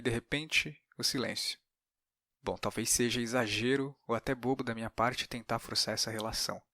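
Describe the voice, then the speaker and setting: A man's voice: medium pitch, sharp tone, dry recording, clean studio sound. A Brazilian man hosting a podcast, moving between reflective narration and interview talk. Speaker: podcast host.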